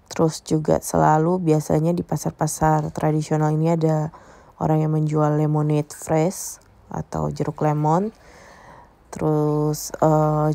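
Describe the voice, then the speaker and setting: A woman's voice: low-pitched, vocal fry, dark tone, no echo. A woman narrating a casual travel vlog tour, explaining things directly to viewers. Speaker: travel vlogger.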